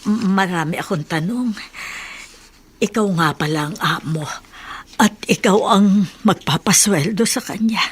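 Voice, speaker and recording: A woman's voice: medium pitch, measured pace, pauses often, slightly rough. An actress playing a kindly middle-aged housekeeper in a Tagalog radio drama, motherly and deferential yet probing, sometimes hesitant. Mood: hurt, deferential.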